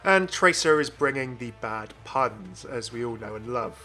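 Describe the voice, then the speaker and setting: A man's voice: medium pitch, animated, a little slow, pushed and bright, faint music behind. A man hosting a gaming channel, giving chatty, enthusiastic, informal commentary on a video game.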